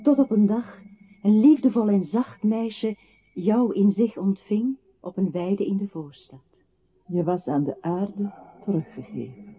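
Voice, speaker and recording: High-voiced, solemn male accuser, tinny sound. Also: measured pace, breathy edge, full character voice. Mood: lyrical. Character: accusing male voice narrating a captive's life to him. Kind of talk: radio play, poetic second-person narration. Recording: tinny, narrow old radio recording with hiss.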